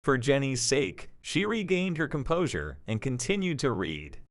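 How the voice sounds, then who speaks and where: A man's voice: mid-range voice, sing-song, normal speed, no echo. A man narrating a scripted story for an audio drama.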